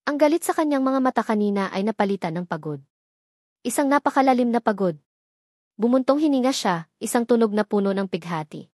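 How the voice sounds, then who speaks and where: A woman's voice: on the high side, lively intonation, a little fast, a little mellow, dry recording, studio quality. A woman with a Filipino accent reading a scripted, first-person dramatic story as narrator.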